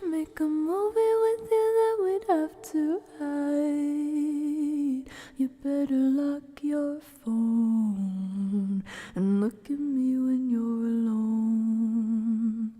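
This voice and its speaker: A woman live streamer with a soft, gentle manner, drifting between casual ASMR chat and soft singing. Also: high voice, drawn-out words, soft background music.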